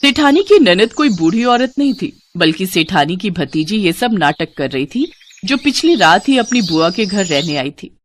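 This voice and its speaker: Female narrator for moral tales: scripted, mid-pitched, lively intonation, slight background noise. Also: normal speed. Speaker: storyteller.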